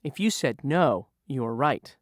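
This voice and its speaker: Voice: mid-range voice, animated, slow, no room sound, studio quality. A narrator asking learners review questions about word meanings in a patient, teacherly way. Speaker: quiz reviewer in a vocabulary lesson. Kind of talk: slow scripted quiz questions for learners.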